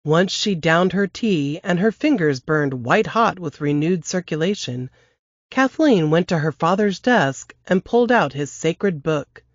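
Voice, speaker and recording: A woman reading aloud from her novel in a steady, measured narrating voice. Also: lowish voice, dry recording, lo-fi sound. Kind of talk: audiobook-style novel reading.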